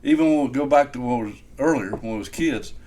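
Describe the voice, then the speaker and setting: A man's voice: mid-range voice, quick pace, dark tone. A middle-aged man with a Southern US accent, chiming in casually with memories as a co-host. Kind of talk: casual reminiscing chat.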